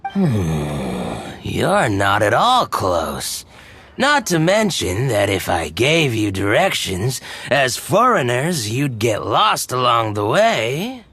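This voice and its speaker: A man's voice: medium pitch, huge pitch swings, takes it slowly, pushed and bright, slightly rough, no echo, full character voice. An actor playing a genial, shrewd businessman in a video game, with a polished, expansive, slightly pompous manner. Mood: helpful, amused. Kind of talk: video game character acting. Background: game music.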